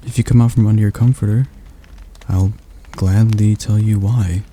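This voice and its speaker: A man voicing a calm, gentle male demon tutor in an ASMR roleplay, speaking softly and slowly with a slight stutter. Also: lowish voice, background noise, close to an everyday voice. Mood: soothing. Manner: soft, slow, soothing delivery.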